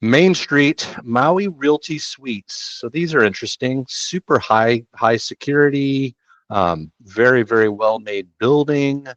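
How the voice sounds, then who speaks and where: A man's voice: mid-range voice, takes it slowly, fairly bright tone, no echo. A middle-aged man hosting a real estate show, chatting casually and slangily through listings on a headset from a small room. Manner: laid-back, slangy, chatty. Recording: dull dry home recording.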